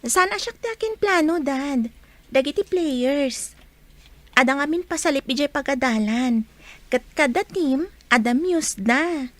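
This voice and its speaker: A woman's voice: high voice, animated, measured pace, dry recording. A woman playing a shy, modest young student in a radio drama, speaking in a hesitant, questioning way.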